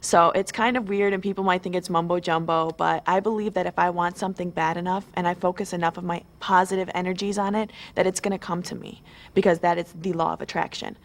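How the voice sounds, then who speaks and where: Chatty twenty-year-old woman, low voice. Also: fairly even intonation, fast talker. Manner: chatty, eager, many fillers and restarts. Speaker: model contestant. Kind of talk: pre-show interview.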